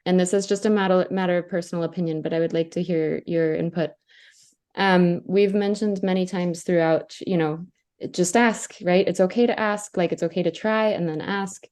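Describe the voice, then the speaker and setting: A woman's voice: on the low side, fairly even intonation, normal speed, a little mellow, clear, resonant tone. A woman moderating a live online workshop over a video call, politely asking the presenter questions.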